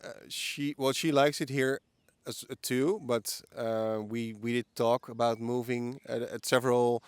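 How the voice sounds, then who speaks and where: A man in his early forties speaks with a Dutch accent as an interview guest. His voice is smooth, clear and medium in pitch. The recording is dry, made outdoors in a park, and his answers are casual and conversational. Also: steady tone, a little slow, no echo. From the Netherlands. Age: early 40s. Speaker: podcast guest.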